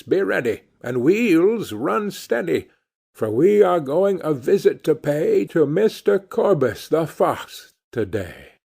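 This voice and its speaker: A man's voice: on the high side, vocal fry, darkish tone, breathy edge, heavily acted. A man voicing a proud, bossy storybook rooster who gives commands in sing-song rhyme. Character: proud, bossy rooster travelling in his fine carriage.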